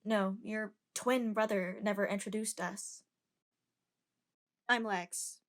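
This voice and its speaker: A woman's voice: mid-range voice, steady tone, slow pace, a bit bright. A woman voicing a casual, slightly awkward young passenger in an amateur audio drama.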